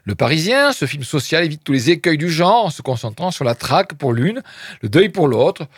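A man's voice: a little high, big pitch swings, normal speed, husky, no echo, studio quality. A man presenting film reviews on the radio, reading press quotes and adding wry, hesitant asides full of fillers.

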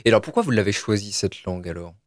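Low-voice radio host guy, relaxed, lots of mhms, dry mic. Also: rapid-fire, no echo. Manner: relaxed, frequent backchannel murmurs. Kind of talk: radio interview.

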